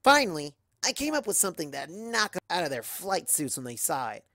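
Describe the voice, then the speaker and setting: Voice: medium pitch, big pitch swings, fairly quick, bright, forceful tone, dry recording, clean studio sound. A storyteller voicing a brash, boastful young female cartoon pony, cocky, casual and emphatic.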